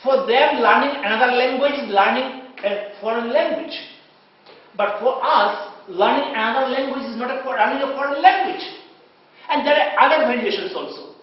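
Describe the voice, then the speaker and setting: A man's voice: extremely high pitch, normal speed. A man with an Indian English accent giving a university lecture, often searching for words with fillers and restarts. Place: lecture hall. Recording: far-off, very echoey, dull lecture hall recording.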